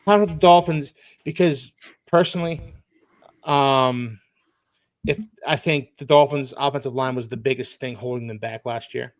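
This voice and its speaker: Man from philadelphia, mid-pitched, expansive sports talk, dry mic. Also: normal speed, no room sound. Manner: enthusiastic, expansive. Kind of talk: casual sports chat.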